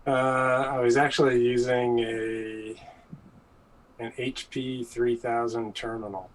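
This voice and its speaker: A middle-aged man with a medium-pitched voice chats as a panel guest. He speaks in short, deadpan remarks. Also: slow, rough recording. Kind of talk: casual chat.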